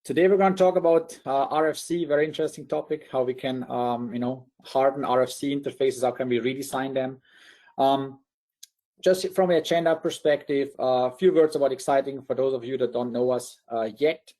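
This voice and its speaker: Swiss-accented male presenter, relaxed and mellow, chatty with many ums. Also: medium pitch, brisk. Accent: Swiss German. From Liechtenstein. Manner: conversational, many fillers and restarts. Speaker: webinar presenter. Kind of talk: presenting a technical webinar.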